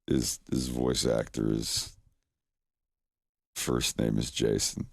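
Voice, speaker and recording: Very deep-voiced man, rambling stream chat. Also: level tone, slow, vocal fry, no echo, studio quality. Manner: rambling, chuckling, self-deprecating. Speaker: streamer, animator. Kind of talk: casual livestream chat. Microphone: desk microphone.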